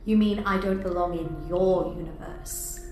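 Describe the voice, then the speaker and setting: An actress playing a calm, enigmatic celestial being in an audio drama, speaking in a measured, cryptic, assured way. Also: female voice, fairly low pitch, a little hiss or hum, lightly acted. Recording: echoey, with music underneath. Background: music.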